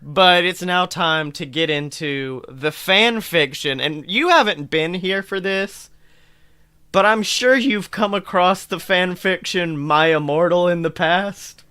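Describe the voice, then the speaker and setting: Bright-voiced man bantering on a podcast. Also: high voice, slow, smooth, dry recording, studio quality. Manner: profane, jokey, animated reactions. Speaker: podcast co-host. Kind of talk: casual banter and mocking fan fiction read-aloud.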